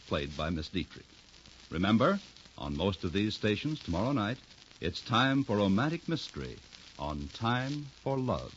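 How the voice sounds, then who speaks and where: A man with an American accent announcing in scripted 1950s network radio style. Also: fairly low pitch. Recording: dull, faintly noisy 1950s radio recording.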